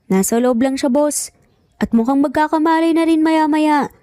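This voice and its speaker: A woman's voice: sits high, normal speed, mellow, no room sound, studio quality, voice pushed up, natural voice. A woman voicing an obedient male kidnapper's henchman in story dialogue. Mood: matter-of-fact.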